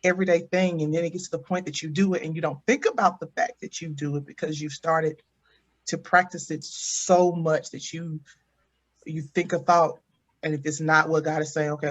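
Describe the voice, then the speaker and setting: A woman's voice: sits low, little pitch movement, fairly quick. Intense, self-questioning woman. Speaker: guest. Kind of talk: reflective faith talk.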